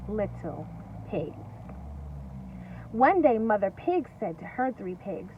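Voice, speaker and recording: Slow, high-pitched woman reading to children. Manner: singsong, emphatic, asks questions and pauses for answers. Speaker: teacher reading aloud to young children. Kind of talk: interactive storybook read-aloud. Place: at home. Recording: distant mic, lo-fi, faint noise.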